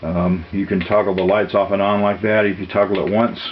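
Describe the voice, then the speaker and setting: A man giving an unscripted technical demonstration as a seller, matter-of-fact and halting, with frequent uhs. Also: deep voice, level tone, a little hiss or hum. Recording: dull lo-fi audio, music behind. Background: music played from demonstration equipment.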